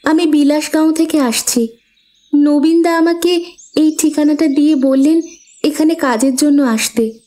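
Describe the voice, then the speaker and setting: A woman's voice: high-pitched, measured pace, mellow. A woman playing a humble, sorrowful young orphaned village girl in a melodramatic cartoon, with a plaintive manner. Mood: polite. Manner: pleading, plaintive.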